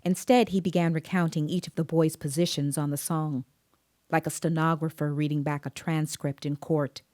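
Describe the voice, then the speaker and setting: An actress playing a composed, observant female interviewer, narrating an audio drama in a measured, precise way. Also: deep voice, steady tone, darkish tone, dry recording, clean studio sound, natural voice. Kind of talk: scripted first-person dramatic narration.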